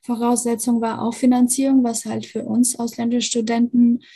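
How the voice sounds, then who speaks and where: A young woman from Albania speaks, pausing often and filling gaps with hesitations as she answers. Her voice sits a little high, with a mellow, husky tone. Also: monotone. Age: 20s. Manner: hesitant, many fillers and restarts. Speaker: guest, computer science student. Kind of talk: casual interview answers.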